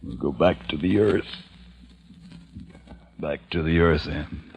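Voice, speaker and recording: A man's voice: a bass voice, slow pace, creaky, muffled recording, a little hiss or hum, exaggerated voice. An actor playing a weary, grieving man who leads a few survivors, in a solemn, dramatic old-time radio style. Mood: resolute, weary. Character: weary, grieving male survivor leading a small community. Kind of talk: old-time radio acting with first-person narration. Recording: old radio recording with music behind.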